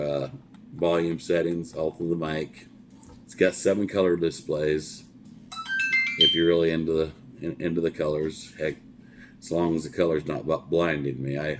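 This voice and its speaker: Casting: male, middle-aged, extremely low register, folksy trucker type, unscripted casual gear review, slight ambient noise behind. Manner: plain-spoken, folksy, repeats words as he goes. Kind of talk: casual product review chat.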